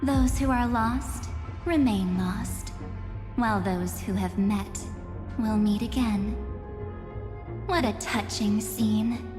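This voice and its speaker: An actress playing an enigmatic, taunting young woman in a video game, speaking deliberately with theatrical condescension. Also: medium pitch, close to an everyday voice. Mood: mysterious, mocking. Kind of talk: dramatic video game voice acting. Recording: echoey reverberant hall, music behind.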